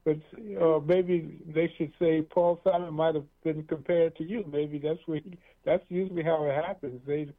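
A man's voice: on the high side, flat delivery, normal speed, hardly any pauses. A man hosting a radio talk show, chatting in a rambling, easygoing way with lots of fillers and restarts. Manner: rambling, many fillers and restarts, chuckles often. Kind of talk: casual interview chat. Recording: tinny lo-fi radio sound.

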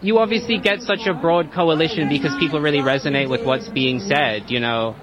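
A woman's voice: low-pitched, fairly quick, muffled recording. A woman campaign organizer speaking in a conversational, pointed way during an interview.

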